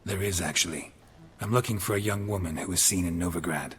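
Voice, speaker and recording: An actor playing a gruff, terse, world-weary monster hunter in a video game, deadpan. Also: male voice, low voice, brisk, a little hiss or hum, lightly acted. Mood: matter-of-fact. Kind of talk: video game character acting.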